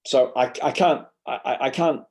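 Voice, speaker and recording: A man's voice: medium pitch, fairly even intonation, normal speed, a little mellow. A man in his fifties with a Yorkshire accent, a guest explaining his school workshops in a casual interview, full of restarts and fillers. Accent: Yorkshire English. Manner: explains in short bursts with many restarts and fillers. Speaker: guest, educational publisher and school workshop speaker. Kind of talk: conversational interview.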